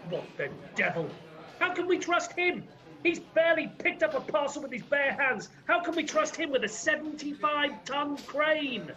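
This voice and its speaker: A man's voice: very high-pitched, lively intonation, moderate pace, sharp tone, lo-fi sound, heavily acted. A teenage boy playing an irascible, blustering railway controller in a school radio play. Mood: exasperated. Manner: blustering, exasperated.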